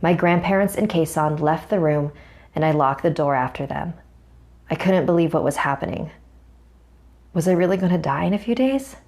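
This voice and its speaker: A woman's voice: sits low, moderate pace, darkish tone. A woman narrating a scripted first-person horror story as a storyteller. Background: music.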